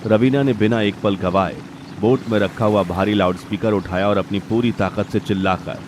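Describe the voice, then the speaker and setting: Low-pitched man narrating a dramatic story. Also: fairly even intonation, normal speed, noisy background.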